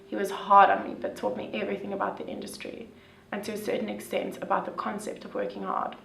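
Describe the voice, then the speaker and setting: A woman's voice: mid-range voice, monotone, fast, gravelly, fairly bright tone, slight background noise. A young woman reading a story aloud, then reacting casually with lots of "like". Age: young adult. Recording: roomy, distant, with faint music.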